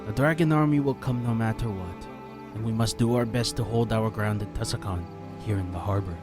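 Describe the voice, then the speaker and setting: Man voicing a low, resolute ninja master. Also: lightly acted. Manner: measured, serious. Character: measured, resolute male ninja clan master. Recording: music behind the voice.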